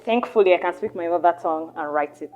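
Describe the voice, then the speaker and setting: A young woman from Nigeria hosting a casual podcast chat, animated and chatty, often trailing off and restarting her thoughts. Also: fairly low pitch, quick pace, lo-fi sound, faint music behind. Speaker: podcast host.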